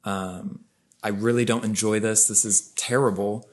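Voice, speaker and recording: A man's voice: fairly low pitch, steady tone, a little slow. A young man co-hosting a podcast, explaining ideas casually and peppering his talk with "like". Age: young adult. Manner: explaining, casual, lots of "like" fillers. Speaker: podcast co-host. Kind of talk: casual conversation.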